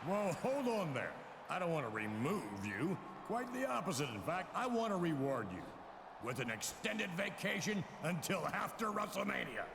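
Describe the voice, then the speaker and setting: A smug older boss in a wrestling video game. He schemes with sarcastic geniality in a high, lively voice, echoing in a noisy hall. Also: moderate pace, vocal fry, exaggerated voice. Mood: falsely genial. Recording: echoey hall with background noise.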